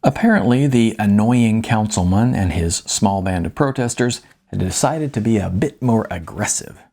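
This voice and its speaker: A man narrating a first-person story in a wry, colloquial, emphatic storytelling manner, with a slightly husky voice. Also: lowish voice, animated, normal speed, darkish tone. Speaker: author reading own novella.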